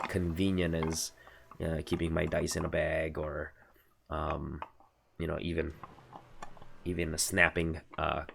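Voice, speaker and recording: A deep, gravelly American man with a stuffy nose from allergies. He streams from his home desk and rambles casually, full of ums and restarts. Faint music sits low behind him. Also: fairly bright tone. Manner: rambling, many fillers and self-corrections. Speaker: streamer reviewing card game accessories. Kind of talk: casual product review livestream.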